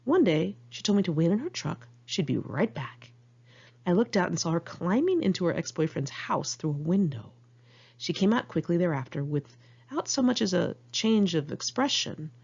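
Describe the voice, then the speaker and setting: A woman with an American accent narrates her memoir in a lowish voice with a husky rasp. She is candid and wry, telling it informally like an amateur audiobook. The recording is a little muffled. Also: sing-song, normal speed, darkish tone. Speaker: author reading own memoir.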